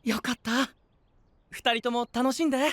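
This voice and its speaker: An actor playing a gentle, blithely persuasive princely young knight, soft-spoken and leisurely, in an anime-style audio drama. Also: male voice, very high voice, level tone, normal speed, dry recording, clean studio sound. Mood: kindly persuasive.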